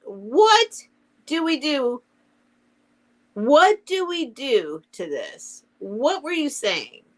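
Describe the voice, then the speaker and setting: A woman's voice: high-pitched, sing-song, drawn-out words, voice pushed up, exaggerated voice. A woman voicing a naive, dreamy little male toy bear in a storybook, with a wistful, questioning manner. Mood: puzzled.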